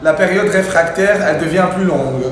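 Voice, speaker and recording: A young man with a light Arabic accent and a fairly high voice jokes around on a live stream. He talks loose and crude. The room echoes heavily, and faint ambient noise sits underneath him. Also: fairly quick. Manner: joking, playful, loose and crude. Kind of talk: casual livestream banter.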